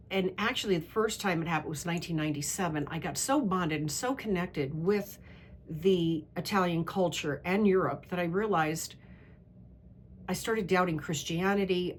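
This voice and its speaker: A woman's voice: fairly low pitch, a little hiss or hum. A middle-aged American-born woman who leads a women's travel club, telling stories in a candid, opinionated, digressive way. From United States. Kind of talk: interview answer, conversational monologue.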